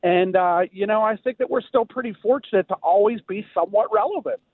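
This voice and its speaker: An American man phoning a radio show with casual sports opinions, speaking in a halting way over a phone line. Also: high voice, dry recording. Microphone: telephone. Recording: narrow tinny phone line.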